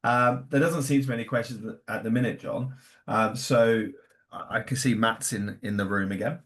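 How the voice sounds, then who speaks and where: A man with a mid-pitched voice hosts an online session in an informal way. He is getting over a cold and his voice is giving out a little. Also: steady tone, moderate pace, a bit bright. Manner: throat-clearing, apologetic. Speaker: webinar host. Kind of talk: informal hosting. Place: online webinar.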